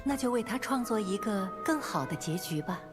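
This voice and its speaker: An actress narrating a fairy tale as a gentle storybook narrator in a video game. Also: female voice, a little high, animated, fairly quick, over music, lightly acted. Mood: storytelling.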